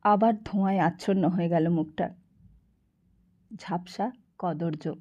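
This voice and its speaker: A woman's voice: on the low side, measured pace, mellow, highs cut off. A woman reading a literary novel aloud as an audiobook narrator.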